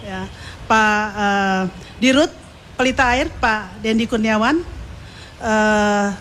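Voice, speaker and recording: A woman's voice: on the high side, a bit bright. A woman gives a semi-formal, off-the-cuff welcome speech at a live ceremony, conversational and often searching for words. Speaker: media company executive giving a welcome speech. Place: live ceremony, speaking to assembled guests. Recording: clearly noisy live event ambience.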